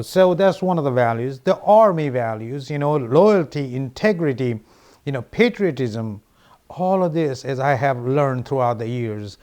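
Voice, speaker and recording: A man's voice: mid-range voice, a little mellow. Middle-aged man, Nepali accent, political candidate, earnest and repetitive, plenty of restarts. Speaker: congressional candidate. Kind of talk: interview answers.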